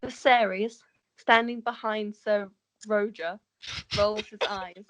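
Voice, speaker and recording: A woman's voice: medium pitch, steady tone, slow pace, a bit bright, slight background noise, low-quality recording. A woman narrating the stage directions of a script in an amateur table read, sometimes stumbling over words.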